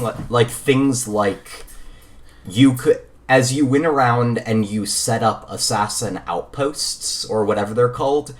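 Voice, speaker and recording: Rambling, opinionated man chatting about games. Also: mid-range voice. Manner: rambling, opinionated, casual swearing. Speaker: podcast co-host. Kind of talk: casual gaming chat.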